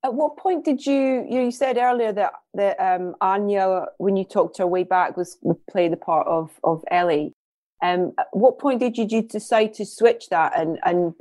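A woman's voice: fairly low pitch, mellow, dry recording. A woman hosting a casual film interview podcast, feeling her way through admiring questions.

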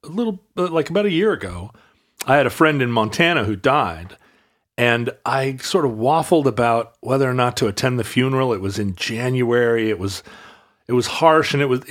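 A man's voice: medium pitch, fairly bright tone. A man from Alaska telling a long, rambling anecdote in casual conversation, full of restarts and wry asides. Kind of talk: casual chat, storytelling.

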